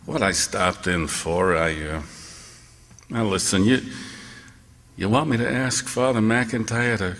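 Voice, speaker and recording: A man's voice: lowish voice, measured pace, pauses often, a bit bright. A male actor playing an exasperated, evasive small-town defence lawyer, speaking in a hesitant, stammering, folksy way in radio theatre style. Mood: uneasy, evasive. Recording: reverberant radio play sound.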